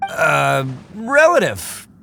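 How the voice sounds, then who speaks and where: An actor playing a brash, cocky young oni gang boss in an anime video game, swaggering and emphatic. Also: male voice, a little high, huge pitch swings, drawn-out words, creaky, a little hiss or hum, exaggerated voice. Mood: awkward, evasive. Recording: loud game music behind.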